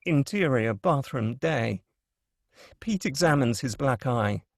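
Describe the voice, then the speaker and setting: Male narrator for script table read. Medium pitch, scripted scene descriptions, dry close mic. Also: expressive, slow pace, gravelly, no room sound. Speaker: narrator reading stage directions. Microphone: close, dry microphone.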